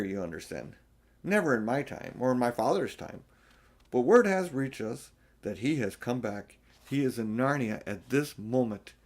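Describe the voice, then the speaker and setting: A man voicing a male talking beaver in a storybook, an earnest, solemn woodland creature with a folksy, emphatic manner. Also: mid-range voice. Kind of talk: storybook character voice.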